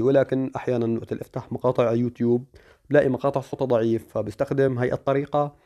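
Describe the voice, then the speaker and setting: A man's voice: medium pitch, mellow. A man giving a chatty, step-by-step phone tutorial. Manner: chatty, explaining step by step with fillers. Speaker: tech tutorial host. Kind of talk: casual screen-recorded phone tutorial.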